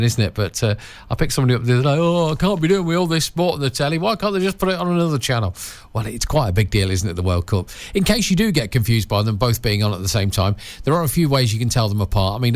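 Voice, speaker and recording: A man's voice: medium pitch, no room sound. A man presenting local radio, chatty and jokey, rambling with restarts. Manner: jokey, rambling, self-interrupting.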